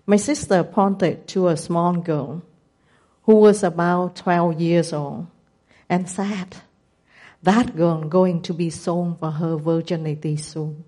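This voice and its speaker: A woman in her sixties with a Vietnamese accent, a missionary giving a testimony presentation with careful, measured non-native phrasing. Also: on the low side, warm tone. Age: 60s.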